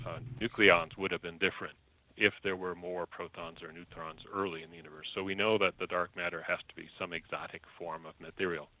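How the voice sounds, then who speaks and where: A man speaking as a physicist, giving an informal scientific explanation in a measured, didactic way, restating himself as he goes. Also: low-pitched, pushed and bright, slight background noise, rough recording. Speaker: physicist, laboratory researcher.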